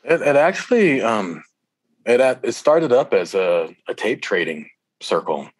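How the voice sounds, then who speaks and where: A man's voice: medium pitch, big pitch swings, measured pace, breathy edge, no room sound. A man chatting casually as an interview guest, reminiscing in a rambling, reflective way.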